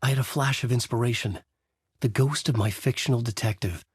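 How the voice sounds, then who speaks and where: An actor playing a haunted, desperate horror writer, narrating in a brooding, intense noir style for a video game. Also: male voice, medium pitch, fairly quick, slightly rough, no echo, studio quality. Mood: brooding, grave. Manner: brooding, intense, terse delivery. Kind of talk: dramatic first-person noir narration.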